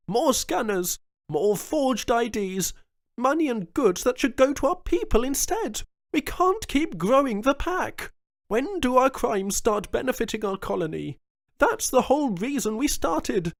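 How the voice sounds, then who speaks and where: A man's voice: medium pitch, steady tone, measured pace, dry recording, clean studio sound, lightly acted. A man voicing a furious, commanding female colony governor who leads pirates. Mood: pleading. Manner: forceful, commanding.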